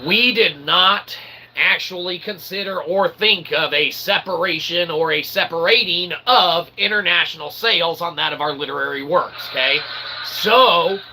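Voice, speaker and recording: A man's voice: a little high, a little slow, sharp tone, slight background noise, low-quality recording. A man hosting a comedy show in a rambling monologue, with wordy mock-formal phrasing and theatrical showman delivery. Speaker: host of a comedy philosophy show.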